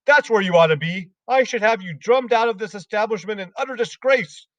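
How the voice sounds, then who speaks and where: A man voicing a cruel, towering headmistress who shouts insults at children. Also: high voice, animated, moderate pace, no echo, pitched above the performer's own voice. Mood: raging. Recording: dull lo-fi sound.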